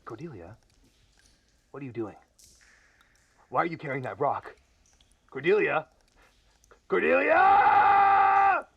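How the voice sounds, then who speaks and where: An actor playing a worried companion in a radio drama, anxiously questioning someone. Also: male voice, sits high, sing-song, takes it slowly, creaky, long pauses, husky, heavily acted. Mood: alarmed. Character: Logan, worried companion searching for a woman. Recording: distant, muffled, lo-fi.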